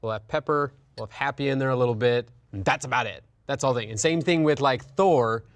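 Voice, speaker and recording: A man's voice: medium pitch, fairly quick, no echo. A man in his thirties hosting a casual panel chat, explaining things in a rambling, conversational way. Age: 30s. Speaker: host of a video game and film talk show.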